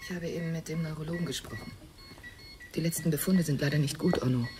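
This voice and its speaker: Woman acting composed mother-in-law, radio drama, low female voice, sober, matter-of-fact, clear music behind. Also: fast, close to an everyday voice. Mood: sober, grave.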